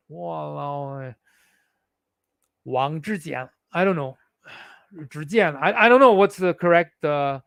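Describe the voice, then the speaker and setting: A man's voice: fairly high pitch, fairly bright tone, clean tone, dry recording, studio quality. A man from the Philippines who speaks with a Singaporean English accent, ranting indignantly to his viewers and often restarting his sentences. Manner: indignant, heated, with frequent restarts. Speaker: vlogger. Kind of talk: ranting monologue to camera.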